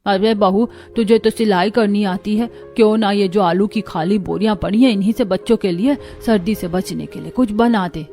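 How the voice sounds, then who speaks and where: A woman's voice: medium pitch, normal speed, few pauses, dark tone, faint music behind, lightly acted. A woman playing an elderly village mother-in-law who advises and reassures in a moral story. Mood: practical concern.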